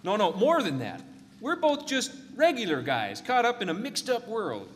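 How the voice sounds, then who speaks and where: An actor playing a blustering, patriotic American balloon pilot with a put-on Minnesota accent and a folksy manner. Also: male voice, high voice, huge pitch swings, normal speed, in a large hall, a little hiss or hum. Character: gung-ho male American balloon pilot major from the 1950s.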